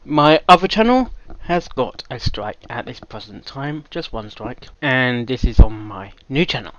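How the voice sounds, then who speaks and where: A man's voice: mid-range voice, animated, measured pace, no echo, lo-fi sound. A man giving casual live reaction commentary as the host of a reaction channel.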